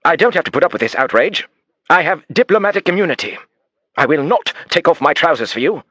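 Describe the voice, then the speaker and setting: A man's voice: medium pitch, sing-song, fairly quick, a bit bright, no room sound, pitched above the performer's own voice. A man voicing an arrogant British diplomat with a clipped English accent, indignant and demanding. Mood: outraged shouting.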